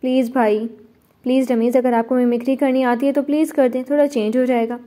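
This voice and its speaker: A cheerful young woman coaxing and pleading with her brother, as a woman reading a novel voices her. The voice is soft and mellow, pitched a bit high. It is told in an audiobook manner. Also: level tone, fast talker, lightly acted.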